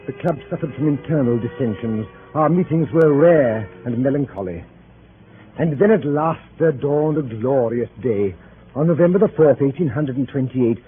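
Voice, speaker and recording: An actor playing a club member who narrates a 1930s radio drama in a formal, wry manner. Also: male voice, medium pitch, narrow, tinny audio, lightly acted. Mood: solemn storytelling. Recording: thin noisy 1930s radio broadcast.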